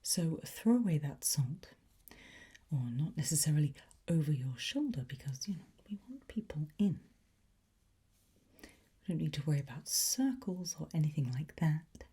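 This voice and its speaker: A woman playing a playfully conspiratorial demonology teacher in an ASMR roleplay, whispering slowly and deliberately with a breathy, softly encouraging voice. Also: low voice, full character voice.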